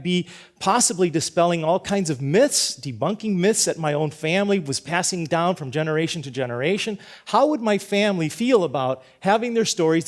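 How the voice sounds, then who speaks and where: A middle-aged man from Cuba, a teacher, giving an earnest prepared talk in a storytelling manner, with frequent restarts and repeated words. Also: sits high.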